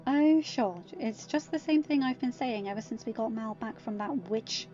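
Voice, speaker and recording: A woman's voice: fairly high pitch, moderate pace, dark tone, dull audio, soft background music, close to an everyday voice. A woman voicing an exasperated, protective mother with a sarcastic, huffy manner in a story. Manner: sardonic, huffy.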